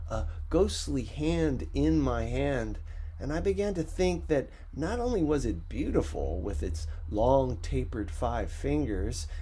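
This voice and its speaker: A man reading a first-person story as an audiobook narrator, in a measured, conversational storytelling manner with a husky voice. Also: mid-range voice, mellow, a little hiss or hum.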